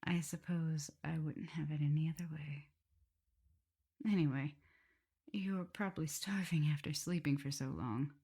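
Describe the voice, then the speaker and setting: A woman playing an affectionate, teasing vampire mistress with a prim teacherly side, in a soft, intimate, often whispered ASMR roleplay. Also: low voice, steady tone, a little slow, pauses often, slightly rough, heavily acted. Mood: fond, amused. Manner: tender, playful, hesitant murmuring.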